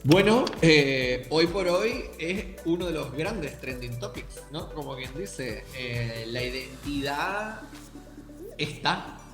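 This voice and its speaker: A man's voice: on the high side, lively intonation, takes it slowly, roomy sound, over music. A young man with a Canarian accent, a writer thoughtfully answering interview questions and speaking directly to the listeners. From Canary Islands, Tenerife. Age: young adult.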